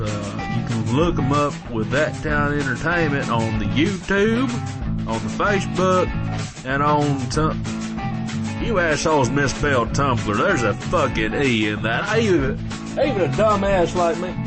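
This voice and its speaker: A man playing a cranky older jailbird with a Southern US accent and folksy drawl, haltingly and grumpily reading credits for a comedy audio drama. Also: mid-range voice, close to an everyday voice. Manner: halting, stumbling over words, grumbling and indignant. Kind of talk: comic in-character credit reading. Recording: country guitar music behind the voice.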